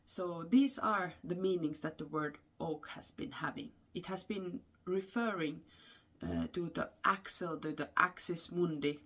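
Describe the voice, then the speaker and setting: A woman with a Finnish accent telling folklore in an unscripted, explanatory way, with halting phrases and frequent pauses. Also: lowish voice, fairly bright tone, husky. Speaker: storyteller and host.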